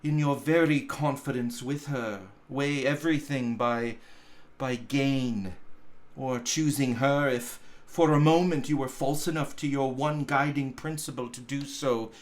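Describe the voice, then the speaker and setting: A gentle young woman from a storybook, read by a man, full of sorrow and quiet resolve. The delivery is very slow and grave, with halting, deliberate phrases. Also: mid-range voice, steady tone, close to an everyday voice. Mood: resigned sorrow. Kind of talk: dramatic storybook reading.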